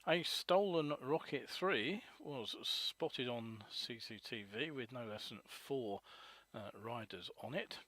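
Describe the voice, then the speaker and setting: A man hosting a live motorcycle news webcast, hoarse from a cold, rambling with many ums and uhs. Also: mid-range voice, expressive, slow pace, fairly bright tone, no echo.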